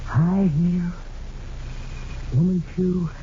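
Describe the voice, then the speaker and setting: An actor playing a bitter man who accuses the woman he loved, measured and deliberate, in a 1940s radio drama. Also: high voice, level tone, dark tone. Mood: quietly accusing. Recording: dull old radio recording, faint noise.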